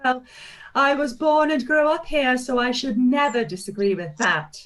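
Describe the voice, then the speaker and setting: An actress playing an expressive, warm middle-aged aunt in a radio play reading. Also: female voice, sits high, normal speed. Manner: gushing, expressive. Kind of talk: live-read radio play.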